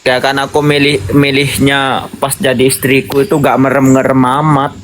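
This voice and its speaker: Young Madurese man, short halting replies. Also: mid-range voice, level tone. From Madura, Indonesia. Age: 20s. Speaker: livestream guest. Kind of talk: casual chat on a livestream battle. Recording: noisy livestream audio.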